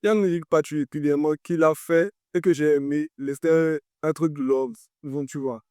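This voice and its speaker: A man hosting a podcast who chats casually, halting and pausing with fillers as he speaks. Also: a little high, steady tone, mellow, no room sound, clean studio sound. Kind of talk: casual interview chat.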